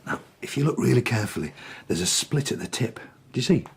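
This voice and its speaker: An actor playing a friendly, slightly awkward village man who is kind to children, in a radio drama, with a hesitant, informal husky voice. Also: medium pitch, expressive, fast, full character voice. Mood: kindly.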